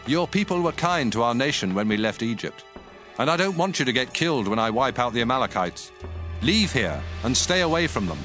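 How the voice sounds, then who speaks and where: A man's voice: medium pitch, very animated, fairly quick, fairly bright tone, faint music behind. A man playing a commanding, devout ancient king in a dramatized audio Bible. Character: King Saul, commanding, stern, devout Israelite king.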